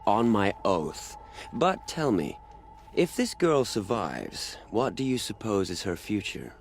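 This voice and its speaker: Jaded video game healer, low, unhurried, sardonic, reluctant to care, music in background. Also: male voice, very animated, heavily acted. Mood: wry. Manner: dry, wry, sardonic. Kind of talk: cinematic video game acting.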